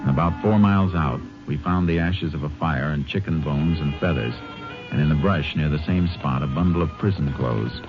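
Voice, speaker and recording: An actor narrating as a ranger recounting a case in a measured, matter-of-fact way, in a dull old radio recording. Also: male voice, rumbling low, music in the background. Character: Texas Ranger narrating his own case.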